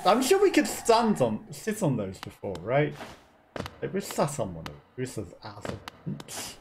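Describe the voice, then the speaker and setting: A man's voice: mid-range voice, wildly swooping pitch, a little slow, background noise. A man giving casual gameplay commentary as a let's play streamer, recorded indoors at his desk. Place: indoors at a gaming desk, webcam in view. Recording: game music behind the voice.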